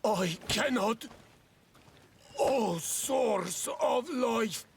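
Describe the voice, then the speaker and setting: An actor playing an embittered, fanatical native man in a fantasy game, with a gravelly voice and solemn, declamatory delivery. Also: extremely high pitch, lively intonation, very slow, slight background noise, exaggerated voice. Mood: frenzied defiance. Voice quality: gravelly, creaky. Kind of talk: dramatic fantasy game acting.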